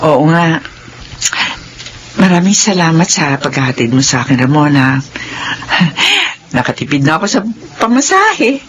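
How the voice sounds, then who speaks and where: A woman's voice: low voice, big pitch swings, unhurried, long pauses, slight background noise. A woman with a Filipino accent acting a caring, worried middle-aged mother in a radio drama. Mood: reserved. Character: worried, caring widowed-age mother of grown children.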